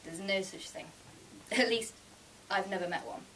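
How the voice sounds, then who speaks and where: A woman's voice: mid-range voice, moderate pace, a bit bright, close to an everyday voice. A woman voicing a casual, matter-of-fact man who is Death, in a live story reading. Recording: distant mic, noisy room ambience.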